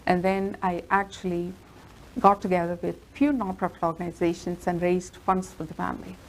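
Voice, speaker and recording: Female lawyer guest, Telugu native, low voice, steady earnest telling. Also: normal speed, a little hiss or hum, rough recording. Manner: earnest, steady storytelling with fillers. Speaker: immigration lawyer. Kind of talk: interview answers.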